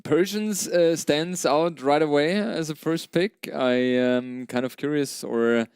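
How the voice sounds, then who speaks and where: Clear, conversational male esports commentator. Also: fairly high pitch, a little slow, a little mellow, no echo. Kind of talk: live tournament commentary.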